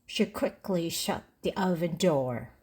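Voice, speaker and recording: A woman reading a children's story aloud in short, deliberate phrases for language learners. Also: fairly low pitch, lively intonation, a little mellow, breathy edge. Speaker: storybook narrator.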